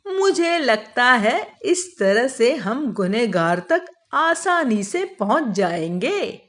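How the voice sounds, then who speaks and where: A woman voicing a clever, self-assured male royal adviser in a children's moral cartoon, speaking in a measured, explanatory manner. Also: a little high, sing-song, a bit bright, exaggerated voice.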